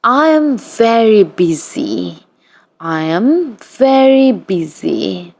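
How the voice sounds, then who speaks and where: A woman reading short practice sentences aloud for learners, in an even, deliberate read-aloud style that says each sentence twice. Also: medium pitch. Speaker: English practice reader.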